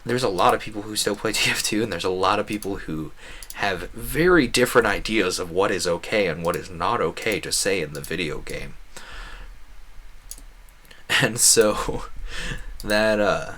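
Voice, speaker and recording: Guy with a lowish voice streaming a game, chatty, thinks out loud, kinda rambly and rewords stuff as he goes. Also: normal speed. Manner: chatty, musing, rephrases himself mid-thought. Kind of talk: casual rambling stream commentary over gameplay.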